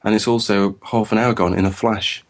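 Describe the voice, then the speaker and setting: A man co-hosting a podcast, chatting casually in a fairly low voice. He rambles and stammers over repeated words as he thinks aloud. His voice sounds a bit croaky. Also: fairly even intonation, moderate pace. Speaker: podcast co-host.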